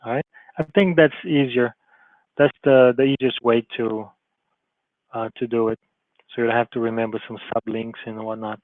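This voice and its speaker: A man's voice: medium pitch, fairly even intonation, normal speed, long pauses, no room sound. A male college advisor giving an informal screen-share tutorial, talking loosely with restarts and frequent "okay?" check-ins. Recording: tinny phone-like narrow sound, dry.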